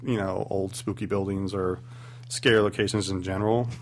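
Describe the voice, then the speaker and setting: Male paranormal investigator, low gravelly voice, hesitant and candid, faint hum. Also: little pitch movement, normal speed. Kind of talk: casual radio interview.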